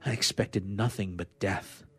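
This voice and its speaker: Dramatic male reader with a lowish voice. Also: measured pace, a bit bright, breathy edge. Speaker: radio player reading literature aloud. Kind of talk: dramatic reading of classic science fiction.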